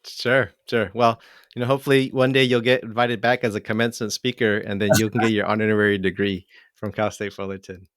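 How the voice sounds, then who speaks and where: A man in his forties hosting an interview, friendly and a little halting with frequent restarts. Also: lowish voice, little pitch movement, a bit bright, dry recording, studio quality. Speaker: interview host. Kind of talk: hosted interview.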